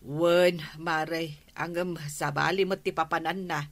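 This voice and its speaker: A woman's voice: deep voice, steady tone, slow pace, no echo. A woman playing a hesitant village neighbour in a radio comedy drama, with an Ilocano accent.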